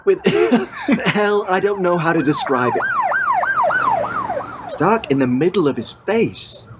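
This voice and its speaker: An actor playing a flustered witness in a radio drama, halting and searching for words, with sirens behind him. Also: male voice, sits high, wildly swooping pitch, heavily acted. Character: flustered witness struggling to describe a big man. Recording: noisy street scene with sirens. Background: emergency sirens.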